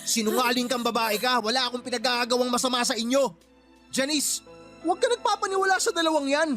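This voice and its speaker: A man's voice: extremely high pitch, fast, music in the background. A man with a Filipino accent playing a lecherous, lying, blustering stepfather in a radio drama. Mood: angry, defensive. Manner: leering, wheedling, blustering.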